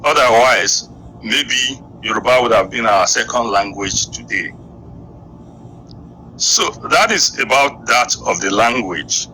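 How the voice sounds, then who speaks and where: Slow, bright-voiced Nigerian man explaining history. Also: medium pitch, animated, vocal fry, a little hiss or hum, low-quality recording. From Edo, Nigeria. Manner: slow, deliberate lecturing with long pauses. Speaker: guest historian.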